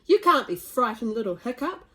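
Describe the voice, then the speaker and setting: A huge, blustering Viking father from a children's storybook, acted out by a woman. He is boastful and loud, booming his words emphatically. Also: sing-song, moderate pace, husky, full character voice.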